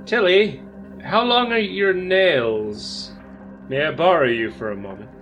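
A man playing a polite, inquisitive male doctor in a tabletop game, speaking in a measured, slightly formal way. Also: fairly high pitch, a bit bright, pitched above the performer's own voice. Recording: music playing behind the voice.

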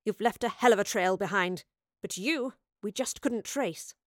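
A woman's voice: medium pitch, moderate pace, dry recording, clean studio sound, close to an everyday voice. Woman acting a firm, urgent female officer. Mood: grave accusation. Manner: firm, urgent, plain-spoken.

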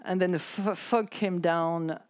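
A woman in her sixties from France, with a French accent, telling her life story as a podcast guest in a soft, hesitant way with frequent small laughs. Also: fairly low pitch, fairly even intonation, a little slow. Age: 60s. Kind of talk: casual chat.

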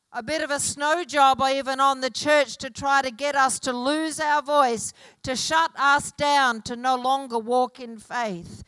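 A woman preaching a sermon to a congregation, slow and emphatic, building her points through repeated phrases. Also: high voice, a bit bright, dry recording, poor audio. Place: church hall.

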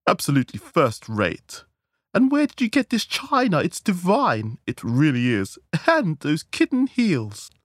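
A man voicing an imperious middle-aged society chairwoman, pompous, deliberate and pious in a comic story. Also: fairly low pitch, sing-song, dry recording, studio quality, voice pushed up, heavily acted. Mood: grandly approving.

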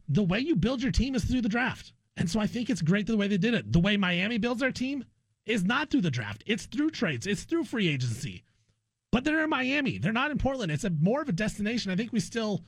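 A man's voice: high voice, no room sound. An American man co-hosting a sports talk show, discussing basketball casually and with opinion, often repeating phrases for emphasis.

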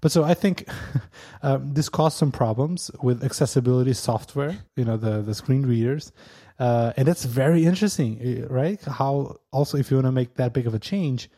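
Mellow-voiced man, halting. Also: medium pitch. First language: Portuguese. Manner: hesitant, full of fillers, chuckles often. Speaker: podcast co-host. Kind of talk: casual podcast chat.

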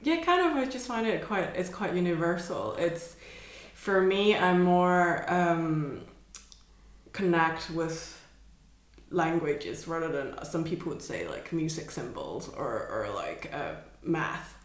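A woman's voice: sits low, lively intonation, takes it slowly. Woman, hesitant, echoey room. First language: Cantonese. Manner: hesitant, searching for words, laughs often. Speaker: artist guest. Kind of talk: casual conversation.

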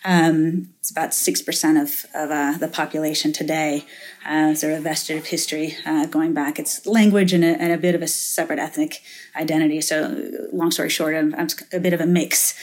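A middle-aged woman from Finland speaks as a guest in an interview, with a somewhat low voice. She talks in a reflective, halting way, full of restarts and fillers as she works through her answers. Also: little pitch movement. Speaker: guest, venture capitalist. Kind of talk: interview conversation.

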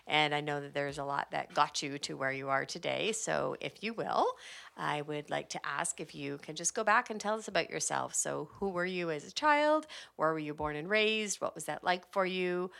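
A woman's voice: deep voice, animated, moderate pace, gravelly, a bit bright. A woman hosting a podcast interview, chatting with enthusiasm and laughing often. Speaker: podcast host. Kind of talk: casual interview chat.

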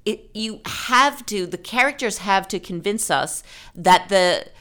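A woman's voice: mid-range voice, animated, unhurried, pushed and bright. A woman hosting a casual literary discussion, explaining her ideas with emphasis. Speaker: host of a fiction discussion podcast.

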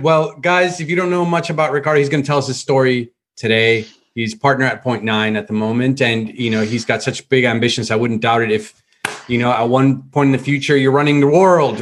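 A man's voice: medium pitch, fairly even intonation, fairly quick. Upbeat male podcast host. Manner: friendly, upbeat, repetitive welcomes. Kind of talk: podcast interview.

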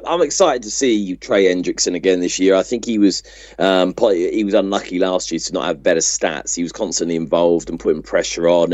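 A man with a notably deep, mellow voice talks casually about sport as a podcast co-host. The recording is a little dull and muffled, with a dry sound. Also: no echo.

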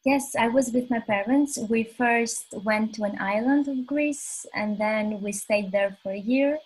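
A woman in her twenties from Albania, a guest on a podcast, telling her story in a modest, earnest, soft-spoken way. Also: a little high, a little slow, slightly rough, low-quality recording. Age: 20s. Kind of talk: casual interview conversation.

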